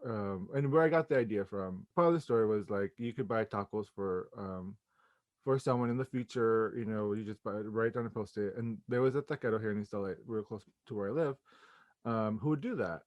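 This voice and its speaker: A man's voice: fairly low pitch, fairly quick, dry recording, lo-fi sound. American man chatting casually, dry close sound. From Los Angeles, United States. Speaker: playwright, interview guest. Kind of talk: casual interview conversation.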